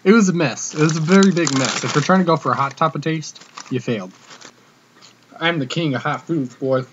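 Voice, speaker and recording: A young man with a fairly high voice talks through a muffled webcam mic with faint noise. He vlogs casually from a small room, cocky and full of slang. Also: fairly quick, a bit bright. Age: young adult. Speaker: vlogger, prank caller. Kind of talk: casual comedic vlog chat to camera. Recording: dull webcam audio with noticeable hiss.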